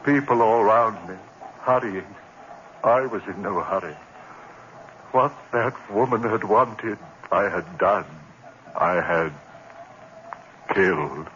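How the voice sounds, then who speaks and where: A tormented lecturer who narrates with brooding intensity, his tone bright and cutting. It sounds like a classic radio drama, muffled with a faint hiss. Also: fairly low pitch, slow, pauses often. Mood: haunted narration. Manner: intense, brooding, breaking into frantic outbursts. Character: haunted lecturer driven to madness. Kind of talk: 1940s radio acting.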